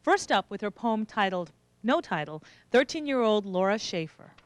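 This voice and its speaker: A woman's voice: mid-range voice, big pitch swings, normal speed, no echo. A woman hosting a youth talk show, addressing guests and studio audience. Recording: music behind, 1990s VHS tape.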